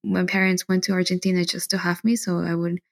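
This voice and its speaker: A woman from Bolivia, a podcast guest, talking in a relaxed, chatty way. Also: lowish voice, brisk, gravelly. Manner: relaxed, chatty, with frequent fillers and soft laughs. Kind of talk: casual interview chat.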